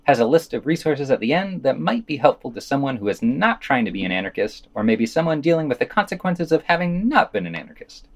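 Man with lively, swinging intonation. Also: medium pitch, fast, hardly any pauses. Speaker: podcast host reading news. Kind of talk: scripted news commentary with quoted readings.

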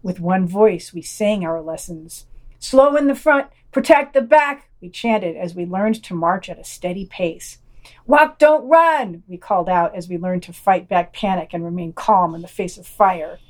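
A woman's voice: on the low side, a little slow. A woman hosting a podcast, reading scripted news summaries and quoted articles aloud. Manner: reads quotations aloud, announcing quote and unquote.

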